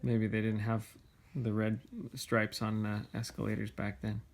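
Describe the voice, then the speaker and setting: A man reading a storybook aloud as a father to his child, with gentle, conversational questions and asides. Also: on the low side, monotone, unhurried.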